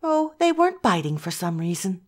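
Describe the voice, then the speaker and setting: A woman playing a kindly, hospitable country mother in an amateur audio drama, gentle and placating, with a husky voice. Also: lowish voice, big pitch swings, a little fast. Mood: cheerfully hospitable.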